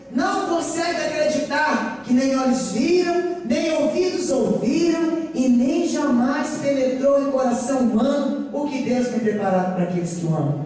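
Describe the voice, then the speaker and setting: A high-pitched woman in her forties preaches with a Goiás Brazilian accent. Her oratory is emphatic and repetitive, with rhetorical questions to the congregation. She is heard at a distance in a heavily echoing church. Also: darkish tone. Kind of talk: sermon with personal testimony. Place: church during a live service. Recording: echoey church hall, distant mic, faint noise.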